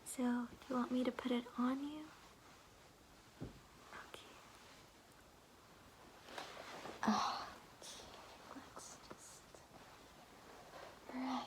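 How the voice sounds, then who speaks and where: A woman's voice: high-pitched, a little mellow, a little hiss or hum, poor audio. Young woman playing a whispering, doting old granny. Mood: caring, gentle. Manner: slow, soothing, fussing, with folksy endearments. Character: kindly, doting, slightly clueless old grandmother. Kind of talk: whispered ASMR roleplay.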